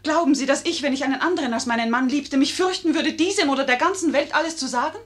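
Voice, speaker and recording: A clever, proud lady of passion: somewhat high voice, eloquent and pointed, stagey delivery of a 1950s German radio play. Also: fast talker, a bit bright. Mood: scornful, impassioned.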